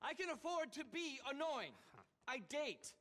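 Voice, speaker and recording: A man's voice: very high-pitched, lively intonation, a little slow, a bit bright, exaggerated voice. An actor playing a pompous, manic tech billionaire in his thirties in a game cutscene, indignant and theatrical. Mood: smug, scornful. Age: 30s. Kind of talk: animated game cutscene acting.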